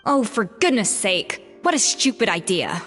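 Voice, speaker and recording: A woman voicing a proud, brisk young female master chef in an animated game, blunt, commanding and matter-of-fact. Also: mid-range voice. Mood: exasperated. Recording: long reverb, music behind.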